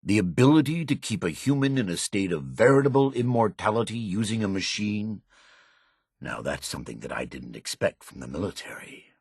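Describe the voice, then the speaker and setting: An actor playing a shrewd, middle-aged business tycoon in a video game, husky, measured, commanding and self-assured. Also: male voice, fairly low pitch, very animated, darkish tone, dry recording, studio quality, exaggerated voice. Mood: firm, demanding. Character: shrewd, domineering soft-drink tycoon making hard bargains. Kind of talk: video game voice acting, recorded log.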